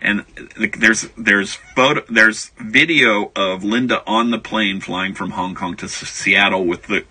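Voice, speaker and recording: Male American author guest, conversational storyteller, strikingly bright and forceful tone with some rasp. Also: on the low side, a little slow. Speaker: author being interviewed. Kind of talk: casual radio interview, anecdotal.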